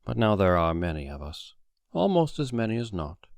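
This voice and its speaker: Man playing calm, measured conspiracy leader. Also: lowish voice, creaky, no room sound, studio quality. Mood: calm confidence. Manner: measured, murmuring, unhurried. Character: calm, smooth-talking male conspiracy leader behind a desk.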